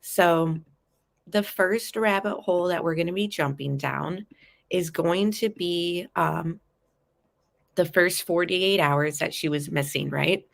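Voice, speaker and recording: Stuffy, low-voiced woman chatting with viewers. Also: slow pace, vocal fry. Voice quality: nasal. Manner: chatty, addresses viewers as guys, fillers like um. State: stuffy nose from a cold. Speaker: true crime vlogger. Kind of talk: casual live chat commentary.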